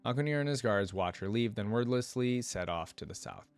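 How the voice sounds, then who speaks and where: Low-voiced man reading and reacting casually. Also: lively intonation, fairly quick. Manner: casual, informal asides between read passages. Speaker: reaction video creator. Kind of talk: reading a fantasy story aloud with casual commentary.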